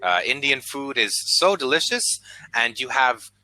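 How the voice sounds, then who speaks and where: Animated American man tutoring on a call. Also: medium pitch, dry recording, rough recording. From United States. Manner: patient, encouraging, speaks slowly and clearly with many fillers. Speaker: online English tutor. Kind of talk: casual conversation lesson. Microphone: video call.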